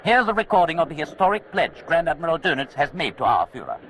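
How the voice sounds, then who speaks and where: An actor playing a formal Nazi radio announcer from Berlin, declaiming in wartime broadcast style over a noisy shortwave-style transmission. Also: male voice, a little high, sing-song, fast talker, fairly bright tone. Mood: proclaiming.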